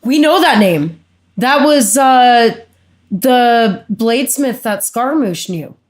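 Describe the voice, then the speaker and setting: A woman streamer reacting excitedly to a game story in casual chat. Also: on the high side, takes it slowly.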